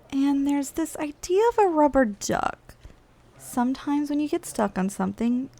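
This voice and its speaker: A woman's voice: on the high side, a little mellow. A woman voicing a playful, lazy giantess office worker in an intimate ASMR roleplay, coaxing and casually condescending as she speaks straight to the listener.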